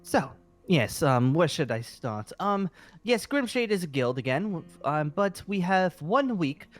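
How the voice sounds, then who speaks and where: A man playing a halting, animated guild leader. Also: on the high side. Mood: apologetic, earnest. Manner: hesitant, rambling, halting. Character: eager, apologetic fantasy guild leader welcoming recruits. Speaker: roleplay performer. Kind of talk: improvised Minecraft roleplay dialogue. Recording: music under the voice.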